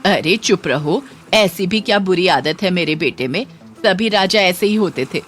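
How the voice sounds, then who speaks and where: A woman's voice: lowish voice, expressive, normal speed, soft background music, close to an everyday voice. Woman putting on a haughty scolding mother-in-law. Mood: bitter, haughty. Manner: scolding, sneering, bossy. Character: scornful, haughty older village mother-in-law. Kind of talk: Hindi moral story dramatization.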